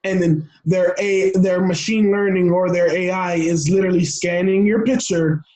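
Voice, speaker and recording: Young american guy on a podcast, pretty high voice, excitable, kinda rambles and repeats himself. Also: normal speed. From Florida, United States. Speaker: podcast guest. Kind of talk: casual interview talk.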